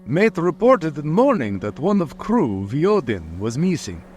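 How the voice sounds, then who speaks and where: A man's voice: on the high side, wildly swooping pitch, measured pace, a little mellow. An actor playing a grave sea captain reading out his log in clipped phrases, in old-time radio style.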